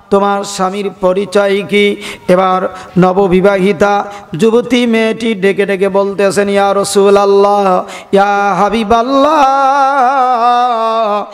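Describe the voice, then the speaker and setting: A man preaching a melodic, half-chanted storytelling sermon with impassioned, drawn-out calls to his listeners. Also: high voice. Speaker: Islamic preacher. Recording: long hall reverb, loud PA sound.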